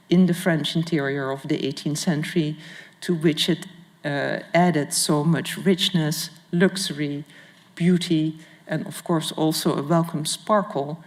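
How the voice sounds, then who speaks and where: A woman curator giving a public art history lecture in a formal, halting manner with careful phrasing. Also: low-pitched, a little mellow. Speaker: museum curator and guest lecturer. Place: large indoor lecture hall with audience. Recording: reverberant lecture hall.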